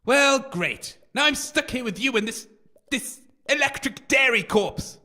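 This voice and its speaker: A theatrical male grumbler played by an actor in an audio comedy, with a very high, piercing voice. He is a wine-loving adventurer who grouses with melodrama and lively swoops. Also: unhurried, full character voice. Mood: annoyed. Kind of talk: scripted audio comedy acting.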